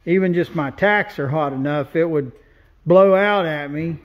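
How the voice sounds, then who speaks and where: A man's voice: fairly high pitch, unhurried, muffled recording. A man welding and fabricating, walking through his work in a shop in a plain-spoken, casual way as he points things out. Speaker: welder and fabricator. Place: large indoor metal fabrication shop.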